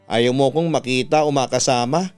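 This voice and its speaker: A Filipino man voicing a gentle, hurt younger sister who speaks earnestly and pleadingly in a narrated radio drama story. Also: medium pitch, moderate pace, clean tone, faint music behind, natural voice. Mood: sad, questioning. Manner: earnest, plaintive.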